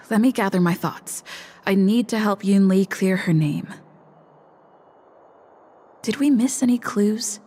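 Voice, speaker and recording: An actress playing a thoughtful young heroine in a video game, musing to herself. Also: female voice, mid-range voice, takes it slowly, long pauses, darkish tone, husky. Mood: pensive.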